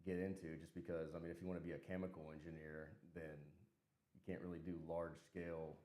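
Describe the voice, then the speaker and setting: A man's voice: low-pitched, level tone, a little fast, warm tone. A man with a light Southern US accent, a podcast guest chatting casually in a laid-back way, laughing often. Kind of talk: casual chat. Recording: echoey room.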